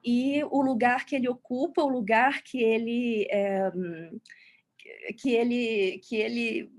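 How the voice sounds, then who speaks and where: A woman's voice: fairly high pitch, steady tone, slow pace. A woman with a Brazilian accent introducing a speaker as moderator on an online call, searching for her words. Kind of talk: academic introduction, unscripted.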